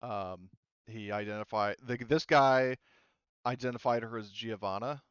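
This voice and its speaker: A man running a tabletop roleplaying game as game master, talking haltingly and thinking aloud as he goes. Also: medium pitch, fairly bright tone, clear, resonant tone. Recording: roomy, slightly muffled microphone.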